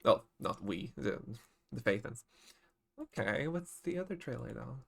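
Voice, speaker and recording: A man giving casual livestream reaction commentary as a game streamer, expressive and exclamatory, often halting mid-thought. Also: medium pitch, vocal fry, husky. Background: game trailer music.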